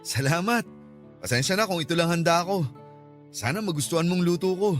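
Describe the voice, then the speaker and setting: An actor with a Filipino accent playing a manipulative, lying ex-boyfriend in a dramatic radio drama style. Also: male voice, on the high side, animated, fairly quick, faint music behind, lightly acted. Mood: grateful. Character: manipulative, lying ex-boyfriend, by turns wheedling and menacing.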